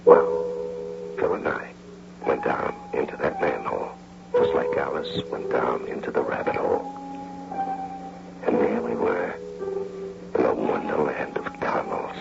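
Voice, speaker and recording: An actor playing a wry amateur bank robber who narrates his own heist theatrically, with dramatic pauses, in old-time radio drama style. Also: very deep voice, lively intonation, vocal fry, full character voice. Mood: wry storytelling. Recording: noisy, muffled radio drama recording.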